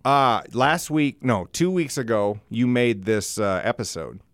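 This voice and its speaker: A man's voice: medium pitch, a little slow, a bit bright, dry recording, clean studio sound. A middle-aged man hosting a podcast, chatting casually and comedically with a teasing, profane streak. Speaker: podcast host.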